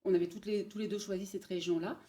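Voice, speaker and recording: Woman, 40s, Parisian-born guest, mellow and unhurried with lots of fillers. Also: mid-range voice, steady tone. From Paris, France. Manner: hesitant, many pauses and fillers. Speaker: guest, bridal showroom manager. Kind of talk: casual interview, telling her career story.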